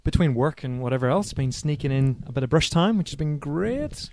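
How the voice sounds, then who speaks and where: A man's voice: fairly high pitch, animated, brisk, darkish tone. A man co-hosting a casual podcast, rambling a little and stumbling over repeated words. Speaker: podcast co-host. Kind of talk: casual chat.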